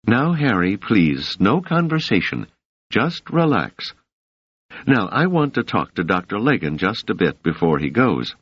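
An earnest, protective woman who blames herself and presses her point, as a male audiobook narrator puts her on. The voice is lifted and softened, swinging widely in pitch. The recording is dry and a little dull. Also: sing-song, a little slow, breathy edge, no echo, full character voice. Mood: firm.

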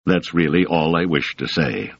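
A man reading an audiobook voices an awkward male academic making a halting, formal apology. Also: very deep voice, gravelly, slightly rough, exaggerated voice. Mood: apologetic. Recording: dull, dry, lo-fi sound.

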